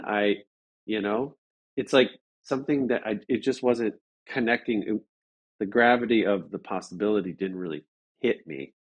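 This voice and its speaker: A man with a fairly low voice talks as a guest in a casual interview. He recounts things conversationally, circling back and repeating phrases as he feels his way through each thought. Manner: halting, rambling, with repeated phrases.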